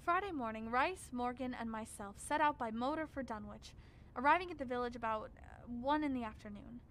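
Woman acting a grave, ominous scholar, higher-pitched voice. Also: a bit bright, a little hiss or hum. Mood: ominous dread. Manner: formal, measured, ominous. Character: grave, dread-filled scholar Dr. Armitage recounting horrors. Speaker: actor narrating. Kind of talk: radio drama narration as a written record.

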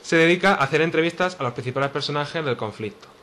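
A man's voice: on the high side, quick pace, sharp tone, a little hiss or hum. A man from Spain hosting a casual podcast chat among friends, presenting the topic with hesitant fillers. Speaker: podcast host.

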